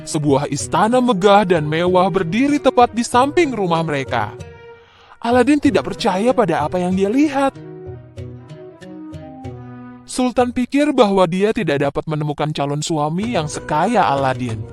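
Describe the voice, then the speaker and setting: High-pitched man narrating a fairy tale. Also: very animated, moderate pace, faint music behind. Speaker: storyteller.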